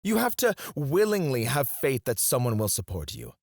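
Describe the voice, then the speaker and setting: A man's voice: medium pitch, big pitch swings, moderate pace, creaky, no echo, clean studio sound. A man playing a cocky, flirty royal alchemist in an intimate fantasy ASMR roleplay, teasing and goading a rival with sardonic mock politeness. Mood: scornful.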